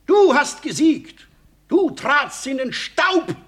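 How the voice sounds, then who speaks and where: A man's voice: very high voice, big pitch swings, takes it slowly, fairly bright tone, exaggerated voice. A man playing a comedian who declaims an impassioned tragic lover in a comic German radio play, overwrought and theatrical. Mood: rapturous.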